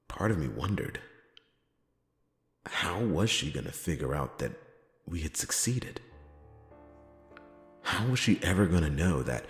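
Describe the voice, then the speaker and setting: A man's voice: extremely low pitch, heavily acted. A man playing a world-weary, reluctant private detective in a hesitant, dramatic noir roleplay monologue. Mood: wistful, brooding. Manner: hesitant, drawn-out phrasing with pauses and sighing chuckles. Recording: echoey long reverb, music behind.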